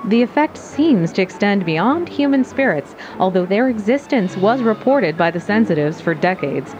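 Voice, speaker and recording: An actress playing a composed, brisk television news anchor in an audio drama. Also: female voice, mid-range voice, lively intonation, over music, close to an everyday voice. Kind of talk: news reading. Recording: dull TV broadcast sound.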